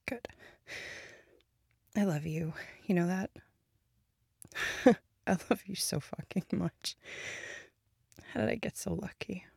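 A woman voicing a grieving young girlfriend who moves between bitter anger and soft whispers, speaking close to the microphone. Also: mid-range voice, vocal fry, husky, no room sound, exaggerated voice. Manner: shifts between angry outbursts and soft whispers. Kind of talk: audio roleplay to a listener.

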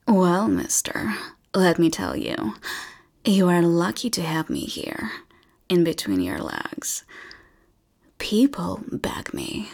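A woman performing an adult audio roleplay, mostly whispering in a breathy, teasing way with frequent moans. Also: on the low side, very animated, takes it slowly, long pauses, darkish tone.

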